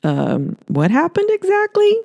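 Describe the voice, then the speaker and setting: A woman's voice: sits high, huge pitch swings, unhurried, creaky, a little mellow, voice pushed up, full character voice. A woman voicing a puzzled, polite female TV reporter with a questioning, incredulous manner in an audiobook.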